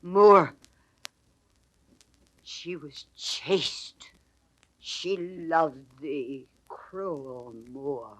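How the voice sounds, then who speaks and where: A woman's voice: medium pitch, husky, heavily acted, rough recording. An actress playing a grief-stricken, fearless and outraged waiting-woman in declamatory 1940s Shakespearean audio drama style. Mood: dying, mournful. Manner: declamatory, theatrical delivery. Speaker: stage actress. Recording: old 1940s studio drama record.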